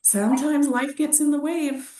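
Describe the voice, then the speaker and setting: A woman's voice: sits high, fairly even intonation, normal speed, warm tone, reverberant, far from the mic, a little hiss or hum. A woman hosting a travel webinar, upbeat and affirming, laughing often. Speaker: travel company host. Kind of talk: casual webinar chat.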